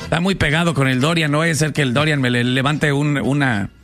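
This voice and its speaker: Mexican radio host, high voice, jokey banter over faint music. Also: male voice, steady tone, fairly bright tone. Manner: joking, teasing, quick banter. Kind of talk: comedy radio chat.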